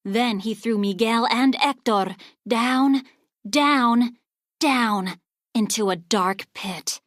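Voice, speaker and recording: A woman narrating a children's storybook aloud in an expressive, scripted read-along style. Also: fairly high pitch, slow, no room sound, studio quality.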